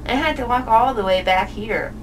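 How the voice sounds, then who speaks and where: A woman's voice: on the high side, moderate pace, distant mic, background noise, lightly acted. A woman voicing a casual, puzzled young character in a puppet show, with matter-of-fact delivery.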